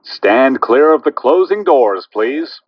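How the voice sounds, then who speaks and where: Slow, lively-pitched man announcer, muffled recording. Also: a little hiss or hum. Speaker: automated subway train announcer. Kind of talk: pre-recorded transit announcements. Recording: dull lo-fi train announcement recording.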